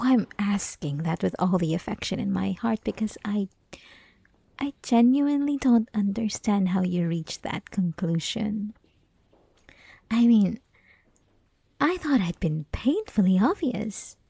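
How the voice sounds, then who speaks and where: A woman's voice: medium pitch, darkish tone, no echo, full character voice. A woman playing a flirty, possessive college classmate who teases the listener in an intimate, mostly whispered ASMR roleplay. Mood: earnest affectionate. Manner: teasing, breathy, mostly whispered, giggly.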